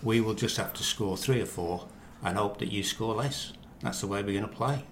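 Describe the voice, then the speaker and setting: A man's voice: lowish voice, normal speed. A middle-aged man with a West Midlands English accent, chatting confidently and wryly about football as a podcast guest. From Walsall, West Midlands of England. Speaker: chief reporter and podcast guest. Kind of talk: casual football banter. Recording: echoey room, faint background noise.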